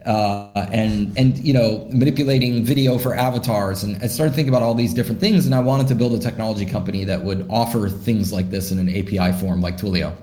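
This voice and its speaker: A man's voice: lowish voice, fairly quick, hardly any pauses, mellow. A man, a startup founder, answering interview questions in a thoughtful way, with many fillers and restarts. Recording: roomy echo.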